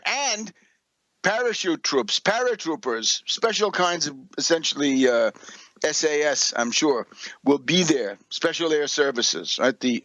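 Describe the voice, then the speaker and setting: A man giving extemporaneous political and historical commentary as a radio host, deliberate and emphatic, with professorial asides and sardonic phrasing. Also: mid-range voice, a bit bright, no room sound, highs cut off.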